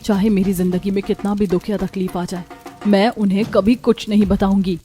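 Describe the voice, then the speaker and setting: A woman's voice: medium pitch, flat delivery, fairly quick, warm tone, soft background music, lightly acted. A woman voicing a meek, wounded young bride in a Hindi cartoon story, plaintive and polite. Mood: hurt, resentful.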